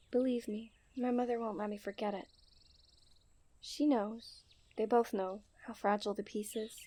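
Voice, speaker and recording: Woman acting a soft, high-voiced coy young princess. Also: close to an everyday voice. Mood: wistful, serious. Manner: playful, formal storybook diction, often dropping to soft breathy near-whispers. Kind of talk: scripted romantic ASMR roleplay. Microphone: close studio-style microphone.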